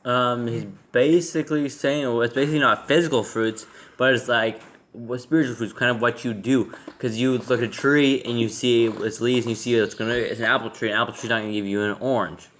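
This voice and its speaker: A young man at a Bible study, quickly giving plain explanations of the verses. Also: mid-range voice, fairly even intonation, a bit bright. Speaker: study participant. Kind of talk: casual discussion.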